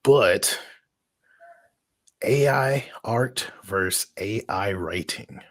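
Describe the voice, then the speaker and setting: Male co-host, lowish voice, dry close sound, casual and giggly. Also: drawn-out words, no echo. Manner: laughs often, rambling restarts. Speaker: podcast co-host. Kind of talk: casual chat.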